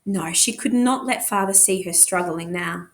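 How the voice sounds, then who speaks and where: A woman's voice: on the low side, normal speed. A woman narrating a novel expressively, as a teacher reading aloud to students.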